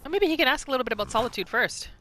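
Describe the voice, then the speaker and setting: Bright-voiced woman narrating a game. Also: high-pitched, lively intonation, rapid-fire, a little hiss or hum. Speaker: gamer narrating a roleplay series. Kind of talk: casual storytelling game commentary.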